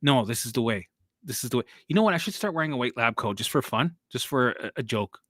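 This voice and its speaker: A man's voice: medium pitch, animated, fast talker, fairly bright tone, no room sound, clean studio sound. A man hosting a casual live stream as a recovery coach. He rambles and repeats himself, swears casually and laughs at himself as he answers viewers.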